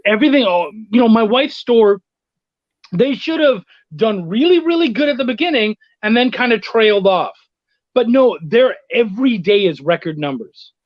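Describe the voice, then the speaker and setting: A middle-aged man telling anecdotes in a casual interview, blunt and quick to swear. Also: sits high, expressive, no echo, lo-fi sound. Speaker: interview guest, freelance writer.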